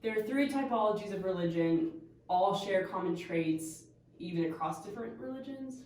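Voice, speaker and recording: A woman's voice: medium pitch, lively intonation, normal speed, a little mellow, slight background noise. Young woman, echoey distant room. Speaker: student in skit. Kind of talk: amateur class skit.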